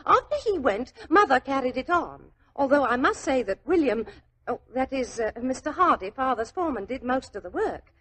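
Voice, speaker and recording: A naive, talkative young Londoner in 1940s radio style. Her voice is high and very animated. She rambles in colloquial speech, flustered and deferential. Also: moderate pace, a little hiss or hum. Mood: chatty, confiding. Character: chatty, flustered, naive working-class London young woman. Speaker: actor in a radio detective drama.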